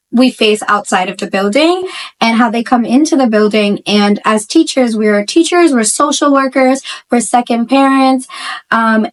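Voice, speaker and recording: Upbeat new teacher, woman, chatty with lots of ums, slightly high voice. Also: rough recording. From Bangladesh, raised in the Bronx. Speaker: history teacher. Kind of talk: casual school interview.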